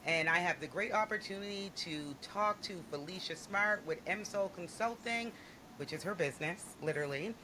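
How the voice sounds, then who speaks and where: A woman's voice: lowish voice, normal speed, background noise. A woman hosting a podcast, chatting with a guest in a bubbly, effusive and playful way. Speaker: podcast host. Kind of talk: casual interview chat.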